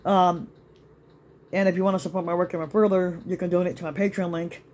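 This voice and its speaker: A man ranting in a rambling vlog to his channel, with many restarts and fillers, his voice still slightly raspy after being sick. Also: high-pitched, level tone, fairly quick, darkish tone, a little hiss or hum. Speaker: YouTube vlogger. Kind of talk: rambling rant to camera.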